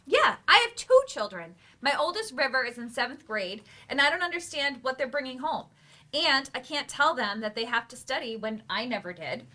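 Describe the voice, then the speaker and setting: A stage actress as an eager adult learner and mother. Her voice is high, bright and lively, with an earnest, open manner suited to a live play reading. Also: normal speed, heavily acted. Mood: candid, upbeat.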